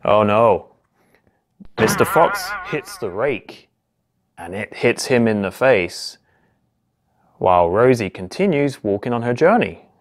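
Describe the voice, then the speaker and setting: A man in his thirties reads a picture-book story aloud for small children. His voice sits at a medium pitch. He narrates in simple phrases, with expressive exclamations and questions put to the young listeners. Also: slow, pauses often. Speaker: police constable reading a children's story. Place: small indoor office or home room.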